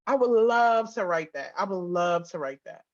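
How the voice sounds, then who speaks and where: A woman's voice: lowish voice, lively intonation, normal speed. A woman chatting casually as an interview guest, on slightly dull, lo-fi audio. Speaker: guest, audio drama writer and producer.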